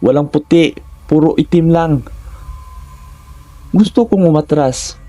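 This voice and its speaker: A man with a Filipino accent reading a scripted first-person horror story in measured, short suspenseful phrases. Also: a little high, warm tone.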